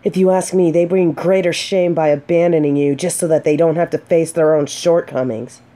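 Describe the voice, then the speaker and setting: A woman playing a gentle, earnest female knight in a fantasy romance audio drama, speaking softly with hesitant, dramatic pauses. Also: deep voice, fairly even intonation, natural voice. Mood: concerned. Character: gentle, earnest female knight consoling a despairing blind companion.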